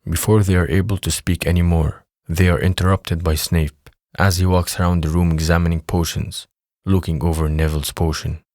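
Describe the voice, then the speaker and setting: Deep voiced guy narrating an audio play from a script, very dry recording. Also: little pitch movement, normal speed, a little mellow, dry recording.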